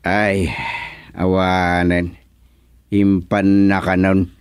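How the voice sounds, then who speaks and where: A man's voice: sits low, monotone, extremely slow. Chatty, blunt older Ilocano man. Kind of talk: Ilocano comedy radio drama acting.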